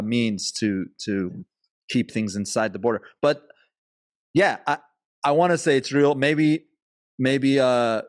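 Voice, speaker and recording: A man's voice: medium pitch, no room sound. Dry-sounding male podcast host. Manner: animated, swearing, restarts often. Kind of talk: casual podcast interview.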